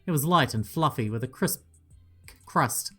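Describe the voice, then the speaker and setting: A man reads a mystery visual novel aloud, giving each character a voice and adding cheeky asides. His pitch sits mid range. Soft game music plays under him. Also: expressive, normal speed, breathy edge. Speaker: gaming channel host.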